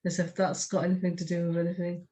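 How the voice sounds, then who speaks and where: A woman's voice: lowish voice, completely flat delivery, fast talker, warm tone. A woman, speaking plainly as a podcast co-host who chats and reads her poetry. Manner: brief, plain-spoken. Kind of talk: casual podcast chat and poetry reading.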